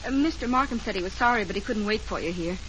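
An actress playing a helpful office receptionist in a 1940s radio drama, talking in an informal, chatty way. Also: female voice, medium pitch, animated, fast talker, a bit bright, lightly acted. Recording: slightly noisy late-1940s radio recording.

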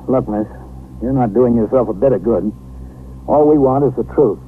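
Actor as measured American police captain, tinny radio. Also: male voice, mid-range voice, natural voice. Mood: calm, probing. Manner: measured, matter-of-fact. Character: calm, reasonable male police captain, sympathetic and methodical. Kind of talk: 1950s radio police drama, scripted narration and dialogue. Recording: noisy, tinny 1950s radio recording.

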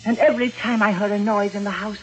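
A woman's voice: medium pitch, fairly even intonation, fast, lightly acted. A woman playing a frightened, guilt-ridden older aunt in a 1940s radio melodrama, agitated and pleading. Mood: confessing, pleading. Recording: dull vintage radio recording, music.

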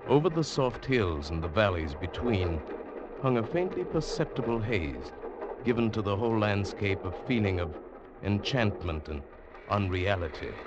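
Low-voiced, expressive gentleman traveller. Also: lo-fi sound, background noise. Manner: formal, expressive, polite but incredulous. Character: reserved, sceptical English gentleman traveller recounting an eerie tale. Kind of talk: 1940s radio acting and narration. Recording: vintage radio drama, music behind.

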